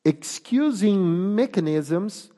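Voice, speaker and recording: A man with a Portuguese accent preaching a sermon, speaking deliberately and emphatically with pauses. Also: high voice, lively intonation, mellow. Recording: long reverb of a hall.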